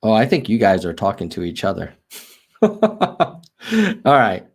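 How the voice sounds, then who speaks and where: A man's voice: on the low side, wildly swooping pitch, measured pace, breathy edge. An American man hosting a casual livestream, thinking aloud over charts and reading viewer chat, with frequent ums and self-corrections. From United States. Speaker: livestream host. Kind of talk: casual data walkthrough on livestream.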